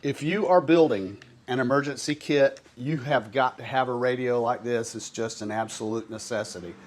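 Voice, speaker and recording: A man's voice: medium pitch, normal speed. An American man giving a casual demonstration as a product reviewer. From coastal North Carolina, US. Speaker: product reviewer presenting to camera.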